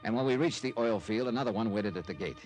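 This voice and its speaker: A man's voice: on the low side, quick pace, darkish tone, lightly acted. Hard-boiled radio detective played by a man. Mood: dry narration. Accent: American. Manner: terse, dry, tough-talking. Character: hard-boiled, wry male insurance investigator narrating his case. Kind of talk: old-time radio detective drama narration and dialogue. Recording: old radio drama with background music.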